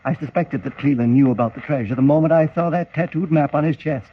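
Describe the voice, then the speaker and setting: A man's voice: on the high side, quick pace, close to an everyday voice. An older scientist acted by a man from a 1940s radio drama. He speaks with dramatic, idealistic fervour and grave emphasis. The vintage recording is very tinny, with some hiss. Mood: confessing, grave. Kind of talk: 1940s radio adventure serial acting.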